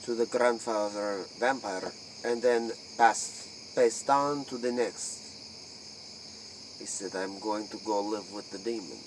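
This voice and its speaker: A man playing a solemn vampire from an old Transylvanian clan in a spoof interview, speaking tersely and haltingly in broken English. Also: on the low side. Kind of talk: role-play spoof interview. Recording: distant mic, clearly noisy night insects.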